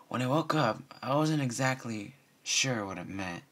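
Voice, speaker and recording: A man's voice: mid-range voice, lively intonation, normal speed, fairly bright tone, pitched above the performer's own voice. Man voicing an earnest, suspicious young girl pony. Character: excitable young female unicorn pony, suspicious and muttering.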